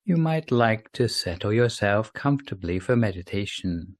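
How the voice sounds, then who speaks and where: A man leading a scripted sleep meditation in a soothing, gentle, unhurried manner, leaving long pauses between phrases. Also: lowish voice, lively intonation, no room sound. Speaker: meditation guide.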